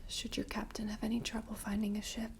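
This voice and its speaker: A young woman playing a mysterious, gentle seaside shopkeeper in a whispered ASMR roleplay, hushed, unhurried and intimate. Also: fairly high pitch, completely flat delivery, vocal fry, a little hiss or hum. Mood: hushed, kindly. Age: young adult. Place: dim candlelit tabletop set indoors.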